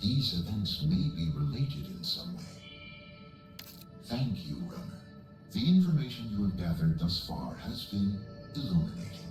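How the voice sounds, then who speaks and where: A man voicing an analytical, detached AI researcher in a video game, speaking in a measured, formal and quietly puzzled way. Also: lowish voice, very animated, reverberant, far from the mic, low-quality recording. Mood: reflective, measured. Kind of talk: scripted video game cutscene dialogue. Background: music.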